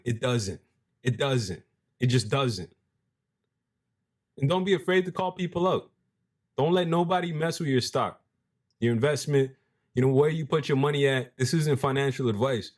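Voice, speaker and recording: Young male host with a deep voice, hyped and casual, slang-heavy, talks over what he reads. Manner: animated, hype-driven, street slang, restarts mid-phrase. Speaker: crypto video host. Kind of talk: casual commentary reading from a web page.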